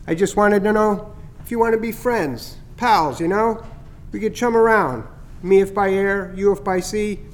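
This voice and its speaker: A man's voice: high voice, voice pushed up, natural voice. A man voicing a motor-mouthed, cheeky male starling who rambles and jokes. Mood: chatty. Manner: rapid, jokey, rambling.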